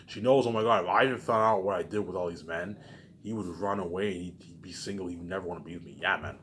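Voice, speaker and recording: Casting: male vlogger, low voice, loose repetitive monologue with emphatic bursts and muttered endings, some background noise. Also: quick pace, a bit bright. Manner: frequent swearing. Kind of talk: rambling casual monologue. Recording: clearly noisy background.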